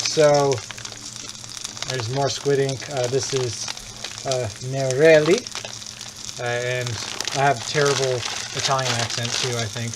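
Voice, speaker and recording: Chatty man cooking over sizzling pans. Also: on the high side. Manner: chatty, rambling, joking asides. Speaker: cooking stream host. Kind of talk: casual live cooking demo. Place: home kitchen. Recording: clear kitchen sizzle and frying noise.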